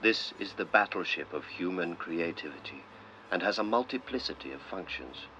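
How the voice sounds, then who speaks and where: A man with a deep voice reads narration like an old documentary. His phrasing is solemn and deliberate. The sound is muffled and lo-fi, with clear noise around the voice. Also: expressive, pushed and bright. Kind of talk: scripted narration in old-style documentary manner.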